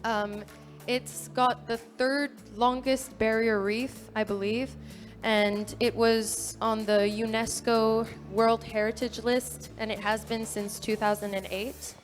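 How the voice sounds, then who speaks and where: A woman's voice: on the high side, flat delivery, takes it slowly, faint music behind. A fifteen-year-old girl from New Caledonia giving an earnest prepared talk at a dive expo, with fillers and small self-corrections. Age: teenager, fifteen. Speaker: youth speaker at a dive expo.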